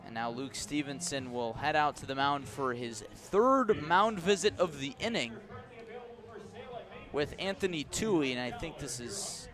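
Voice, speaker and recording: A man's voice: fairly high pitch, lively intonation, measured pace, music in the background. A man doing live radio play-by-play of a baseball game as the announcer. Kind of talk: live radio sports commentary. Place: press box at an outdoor ballpark.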